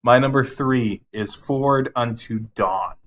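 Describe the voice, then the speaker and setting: Man, medium pitch, podcast co-host, casual chat, enthusiastic, rambling, mildly sweary. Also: measured pace, a bit bright. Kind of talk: casual chat, ranking favorite game movies.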